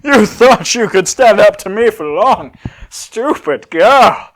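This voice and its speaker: A man's voice: high-pitched, lively intonation, slow pace, a bit bright, voice pushed up, heavily acted. A man voicing an arrogant, scornful android villain from anime fanfiction, with pompous, taunting delivery.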